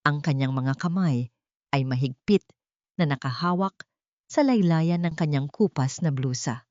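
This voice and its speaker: Lady doing dramatic audiobook narration, lowish voice, kinda muffled lo-fi sound. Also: a little slow, warm tone, dry recording. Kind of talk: dramatic scripted story narration.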